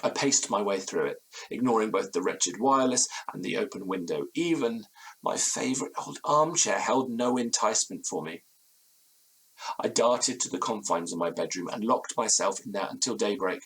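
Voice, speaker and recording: An actor playing an anxious, haunted gentleman narrating a period ghost story in florid, formal, faltering language. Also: on the low side, animated, moderate pace, breathy edge, full character voice, poor audio. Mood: uneasy, brooding. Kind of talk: dramatic first-person horror narration in audio drama.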